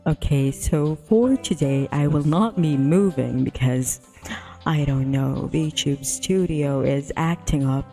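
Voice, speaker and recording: A woman hosts a casual game stream with music playing behind her. Her voice sits a little low and moves at a very slow pace. She is giggly and quick to exclaim. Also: dark tone. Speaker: livestreamer host. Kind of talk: casual livestream chat while setting up and playing a visual novel. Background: background music.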